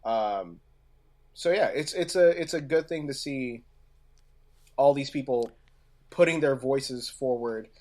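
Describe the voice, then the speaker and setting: A man co-hosting a casual podcast discussion, speaking haltingly and often pausing in the middle of a phrase. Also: mid-range voice, a little mellow. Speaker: podcast co-host.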